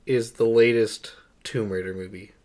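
A man's voice: fairly low pitch, fairly even intonation, normal speed, darkish tone. A man co-hosting a podcast, chatting casually and informally about movies. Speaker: podcast co-host. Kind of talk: casual movie review chat.

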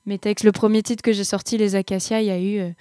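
A young woman talks through her musical path in a hesitant, searching way, full of pauses and restarts. Her voice sits at an average pitch. The sound is dry and close, with no room around it. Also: little pitch movement, warm tone, no room sound. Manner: hesitant, many fillers and restarts. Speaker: singer-songwriter guest. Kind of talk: casual interview answers.

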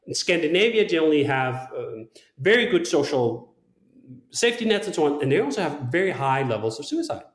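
Male interview guest, slightly high pitch, reflective and emphatic conversational delivery, roomy sound. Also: very animated, fairly quick. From Denmark. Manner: philosophical, thinking aloud, emphatic. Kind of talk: reflective long-form conversation.

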